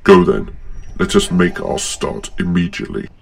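A very low, creaky, slow villain, cold and exacting, in an audio drama. He speaks formally and commands, with big swoops in his delivery. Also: huge pitch swings, background noise, full character voice. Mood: grave, commanding. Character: imposing dark lord commander, cold, menacing and exacting. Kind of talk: fan audio drama acting.

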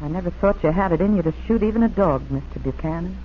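Folksy hill-country farm wife, actress, plain-spoken and brisk, 1940s radio drama with faint hiss. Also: female voice, lowish voice, expressive, natural voice. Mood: weary, suspicious. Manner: folksy, blunt country talk. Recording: dull, hissy 1940s radio broadcast.

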